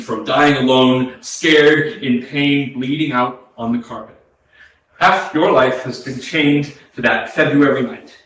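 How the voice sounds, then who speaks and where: A man's voice: mid-range voice, a bit bright, natural voice. A man in a staged play reading as the ghost of a brother who died young, speaking earnest, poetic monologue in a grieving, tender way. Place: small arts centre stage, recorded from a distance. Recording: echoey theatre hall, distant mic, faint noise.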